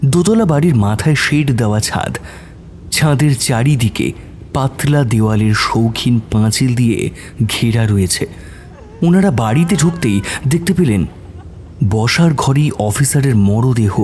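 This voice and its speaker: A man's voice: mid-range voice, darkish tone, slight background noise. A man narrating a scripted detective story in a dramatic audio-drama style.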